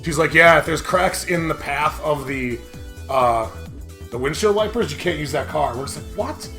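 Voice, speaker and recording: A young man hosting a gaming show, chatting casually and telling animated, jokey stories with frequent laughter. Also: fairly high pitch, moderate pace, soft background music. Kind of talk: casual let's-play commentary with dramatic read-alouds.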